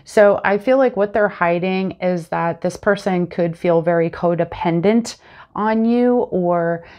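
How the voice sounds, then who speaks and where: A woman's voice: on the low side, measured pace. A woman in her thirties, a bit congested and sniffly, chatting casually through a tarot reading to camera on a lapel mic in a small room at home. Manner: chatty and animated, talks with her hands. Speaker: tarot reader.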